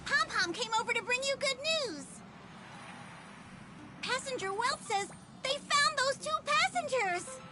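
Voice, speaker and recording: Squeaky, tiny cartoon mascot conductor. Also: female voice, expressive, a little slow, very noisy. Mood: eager. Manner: speaks of itself in the third person, exclaiming. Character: tiny excitable cute conductor creature, childlike and devoted. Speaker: train conductor. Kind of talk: anime game character acting. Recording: loud music behind.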